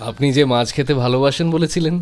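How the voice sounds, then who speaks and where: A man voicing a gentle, modest, poetic young man in an animated love story, courteous and. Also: medium pitch, fast talker, a little hiss or hum, close to an everyday voice. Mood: lively, concerned. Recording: studio voice under loud background music.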